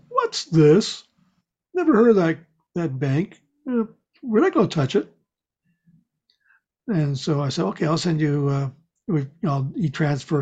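A woman with a husky voice in casual conversation, telling things in a measured, rambling way. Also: deep voice, warm tone, dull audio. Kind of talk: casual chat.

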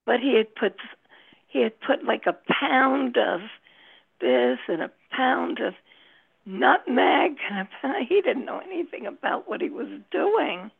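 A woman's voice: on the high side, very animated, measured pace, vocal fry, breathy edge. A woman chatting casually over a thin, muffled connection. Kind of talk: casual chat.

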